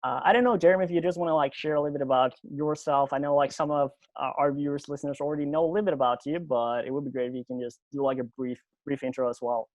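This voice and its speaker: A man hosting a podcast interview over a video call, speaking English as a second language, halting, with many fillers and restarts. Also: a little high, fairly even intonation, no room sound, lo-fi sound. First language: not English. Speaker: podcast host.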